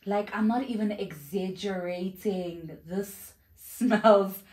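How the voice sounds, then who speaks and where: A woman's voice: medium pitch, slow, darkish tone. A young woman from South Africa chatting effusively in a casual perfume review vlog, filmed at home on a camera in front of her. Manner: chatty and effusive, with exclamations and fillers. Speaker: vlogger. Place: home room with shelves, indoors.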